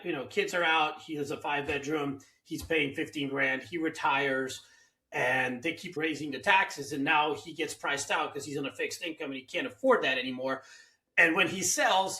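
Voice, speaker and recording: A man's voice: fairly high pitch, normal speed, bright, forceful tone, roomy sound, far from the mic. A man from Hungary hosting a casual interview, talking at length with firm opinions. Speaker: host.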